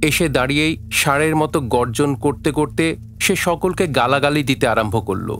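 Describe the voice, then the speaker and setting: A man's voice: fairly high pitch, animated, a little fast, a bit bright. A man narrating a scripted dramatic story as the narrator of an audio play. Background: faint music.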